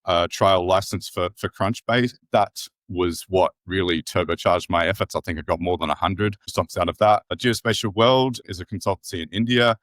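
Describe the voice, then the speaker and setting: A man's voice: deep voice, normal speed, hardly any pauses, fairly bright tone, no room sound. An Australian man in his forties, a podcast guest explaining his thinking at length in a thoughtful, rephrasing way. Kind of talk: casual interview chat.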